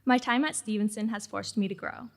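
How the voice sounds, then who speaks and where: A woman's voice: medium pitch, a little fast. Earnest teenage girl giving a speech. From Mexican-American family in California. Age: late teens. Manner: reflective, earnest, reading from a script. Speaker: graduating student speaker. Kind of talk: prepared ceremony speech.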